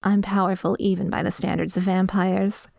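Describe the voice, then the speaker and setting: A woman's voice: medium pitch, little pitch movement, darkish tone, no room sound. Woman acting a possessive vampire woman, close and dry. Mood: commanding, menacing. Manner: breathy sighs, drawn-out savouring words, slipping into whispers; commanding, condescending. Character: dominant, possessive vampire woman, obsessive and hungry. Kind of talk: scripted ASMR roleplay audio, addressing the listener.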